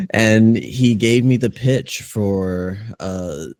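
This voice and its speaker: A man's voice: fairly low pitch, a little mellow, no room sound. A man, a creative director being interviewed, who speaks hesitantly and stumbles over his words. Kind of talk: interview guest.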